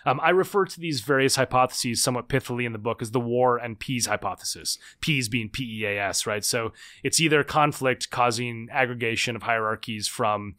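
A man in his thirties, a guest author explaining ideas in a podcast interview, animated and full of quick restarts. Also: medium pitch.

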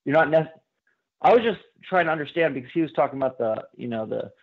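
A man calling in to a radio show, chatting informally in a halting, rambling way over a phone line. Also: fairly high pitch, lively intonation. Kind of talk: casual chat. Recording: tinny narrow phone line.

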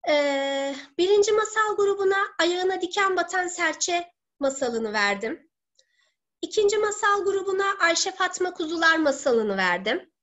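A woman in her thirties, a teacher presenting in an online seminar from home, explaining step by step in a halting way. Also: very high-pitched, expressive, a bit bright. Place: living room at home. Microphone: laptop or webcam video call.

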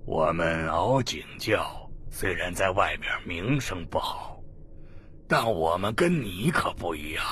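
Man acting sly, slow, deep-voiced old cultist. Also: lively intonation, fairly bright tone, slight background noise, exaggerated voice. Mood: smugly reasoning. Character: sly, unhurried old male cult member, mockingly polite. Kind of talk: dramatic audiobook acting.

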